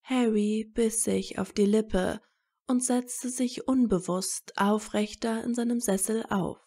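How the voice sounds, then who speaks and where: A woman's voice: fairly high pitch, fairly even intonation, takes it slowly, mellow. A woman narrating a scripted fan fiction story as a storyteller.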